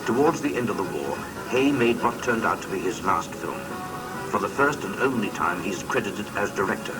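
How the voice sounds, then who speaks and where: A man's voice: lowish voice, animated, normal speed. A man reading a scripted biographical documentary narration, recorded on an old cassette. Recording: muffled distant old cassette copy, music behind. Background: music.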